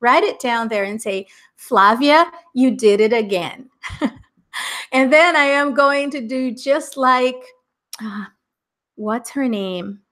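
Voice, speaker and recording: Middle-aged Brazilian woman, Americanized accent, English teacher on a live class, upbeat and unhurried, a touch high. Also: a bit bright. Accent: Brazilian Portuguese, Americanized English. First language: Portuguese. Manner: upbeat, chatty, laughs often.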